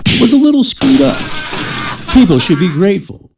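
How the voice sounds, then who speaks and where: A man's voice: sits high, big pitch swings, normal speed, loud music behind the voice. A man narrating an adult comedy radio drama, reading scripted storytelling with wry, crude humour.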